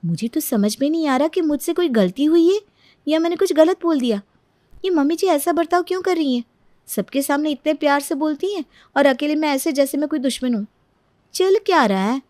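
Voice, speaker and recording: A woman voicing a meek, weary young daughter-in-law in a storybook tale, soft and plaintive. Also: high voice, lively intonation, a little fast, no echo, clean studio sound, pitched above the performer's own voice. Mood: bewildered. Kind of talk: Hindi moral-story voice acting.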